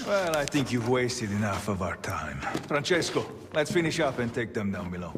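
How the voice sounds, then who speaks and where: An actor playing a menacing, taunting mob thug in a video game cutscene. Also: male voice, mid-range voice, sing-song, moderate pace, loud music behind the voice, lightly acted. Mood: smug menace. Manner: taunting, threatening. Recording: echoing hall, loud noise behind.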